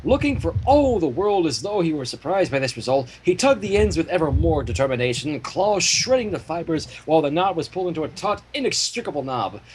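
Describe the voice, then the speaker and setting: A man reads a fan story aloud in an animated, swinging delivery, dropping in casual asides. The recording carries faint noise and small clicks. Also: fairly high pitch, brisk, hardly any pauses, poor audio. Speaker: fan fiction reader and streamer.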